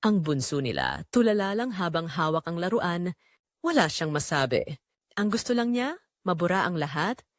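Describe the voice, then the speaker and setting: A Filipino woman narrates a scripted drama in an expressive, measured way. Her pitch moves in lively swings, and the recording is dry and close, with no room sound. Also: no echo.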